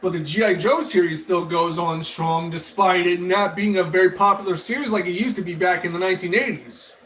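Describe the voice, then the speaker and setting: A man giving rambling, casual opinion commentary as a review vlogger, halting and often repeating and restarting his phrases. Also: sits high, a bit bright. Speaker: toy and media review vlogger.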